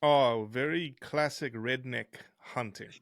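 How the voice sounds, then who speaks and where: A middle-aged man with a softened South African accent talks as an interview guest, telling stories in a conversational way. His mid-pitched voice sits in a very dry space with little room sound. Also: slow, dry recording. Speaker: interview guest, conservation scientist. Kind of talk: conversational storytelling.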